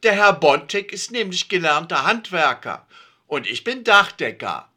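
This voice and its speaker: A man voicing a boastful, eager middle-aged roofer buddy in a comic story read aloud, talking loudly. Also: a little high, sing-song, measured pace, voice pushed up, exaggerated voice.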